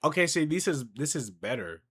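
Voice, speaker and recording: A young man hosting a casual reaction commentary, talking in an animated, conversational, slangy way. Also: on the high side, fairly quick, slightly rough. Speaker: reaction video host.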